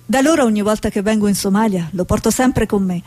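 A woman's voice: medium pitch, fast, mellow, no echo, lightly acted. An actress playing an idealistic, earnest Italian woman journalist in a stage drama. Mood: friendly.

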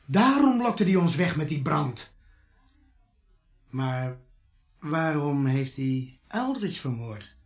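A man's voice: a little high, big pitch swings, slow, pauses often. An actor playing a puzzled, deferential junior policeman in a radio play, speaking in a hesitant, questioning way. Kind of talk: Dutch radio play acting.

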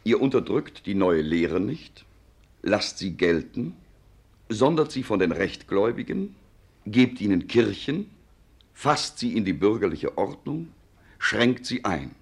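An actor playing a loyal, frank secretary to a regent in a 1940s German radio play, deferential and deliberate in formal diction. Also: male voice, fairly low pitch, sing-song, husky, full character voice. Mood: earnest, urging.

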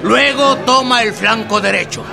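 A commanding general in a radio war drama, his high voice edged with gravel. He gives orders slowly and with authority, over music and a crowd. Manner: barking, authoritative, deliberate military delivery. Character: commanding male army general issuing battle orders. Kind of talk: historical radio drama acting. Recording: music and crowd noise behind. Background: music and crowd of soldiers.

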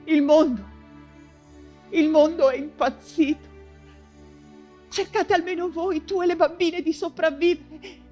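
An ailing, imprisoned woman writer in a stage monologue. Her voice is high and anguished, and she speaks slowly and haltingly, repeating herself as if fevered. Faint music plays underneath. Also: fairly even intonation, mellow. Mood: anguished, tender. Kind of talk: dramatic stage monologue in Italian theatre.

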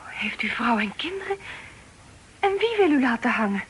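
A woman's voice: a little high, very animated, normal speed, slightly rough, exaggerated voice. An actress in a Dutch radio drama playing a pirate's sweet young daughter, earnest, girlish and breathlessly pleading. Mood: earnest, curious. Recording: noisy old radio recording.

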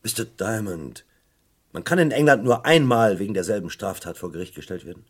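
A man playing a disillusioned, bitter police detective in a crime radio play, speaking emphatically in a husky voice. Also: fairly low pitch, lively intonation, a little fast. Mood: frustrated. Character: embittered, disillusioned English police forensic detective. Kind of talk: German crime radio play, recounting a case.

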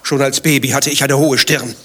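An actor playing a vain, evasive lover in a radio play, speaking with a defensive, indignant manner. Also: male voice, medium pitch, fairly even intonation, pushed and bright, slight background noise, lightly acted. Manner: defensive, indignant, quick to retort. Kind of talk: German radio play dialogue.

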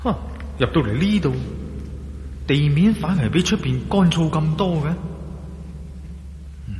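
A man's voice: a little high, expressive, a little slow, darkish tone. A man with a Hong Kong Cantonese accent playing a wary, curious adventurer in a radio drama, theatrically thinking aloud to himself. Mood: intrigued, amazed. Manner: theatrical, exclaiming and musing to himself. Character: wary, curious male adventurer exploring a dark ancient temple. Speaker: radio drama lead actor. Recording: echoey long reverb, clearly noisy.

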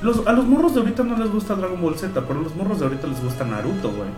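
Animated Mexican man, lively podcast chat. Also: high-pitched, racing, roomy sound, faint music behind. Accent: Mexican Spanish. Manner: animated, reads out viewer comments. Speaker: podcast co-host. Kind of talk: casual chat.

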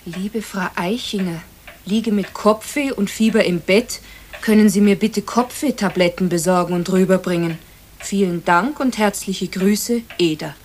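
A woman's voice: medium pitch, unhurried, darkish tone, natural voice. An actress playing a practical, caring mother with a Bavarian accent in a children's radio play. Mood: reading slowly. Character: brisk, caring housewife and mother. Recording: faintly hissy old radio recording.

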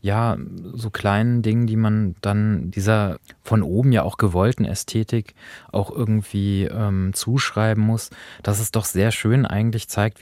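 A man's voice: lowish voice, darkish tone, no room sound, clean studio sound. A man co-hosting a podcast in a conversational, reflective way. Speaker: podcast co-host. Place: dry studio.

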